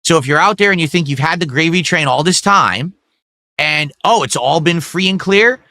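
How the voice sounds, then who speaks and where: Man streaming to chat, defiant, rambling, sweary, bright sharp voice, dry close sound. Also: fairly high pitch, animated, moderate pace, no room sound. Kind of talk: livestream talk to chat.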